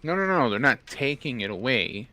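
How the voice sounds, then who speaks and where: A man's voice: medium pitch, very animated, moderate pace, sharp tone. A man chatting casually while gaming, explaining things plainly to his co-player.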